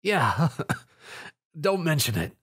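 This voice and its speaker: A man's voice: on the high side, big pitch swings, extremely slow, a bit bright, breathy edge, voice pushed up, full character voice. A man voicing a brave, snarky young male hero in an action story, cocky and wry. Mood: pained, winded.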